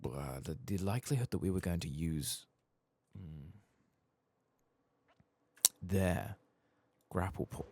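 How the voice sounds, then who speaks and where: A soft-spoken man murmuring unhurried ASMR game commentary, often slipping into a whisper as he muses to himself. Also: low-pitched, slight background noise. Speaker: gaming streamer, ASMR channel host.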